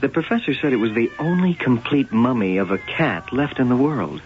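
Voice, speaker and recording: Man as a probing police lieutenant, lively inflection. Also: mid-range voice, normal speed, noisy background, close to an everyday voice. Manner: questioning, understated. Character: probing, skeptical male police lieutenant on a murder case. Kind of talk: old-time radio drama acting. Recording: dull old radio drama recording.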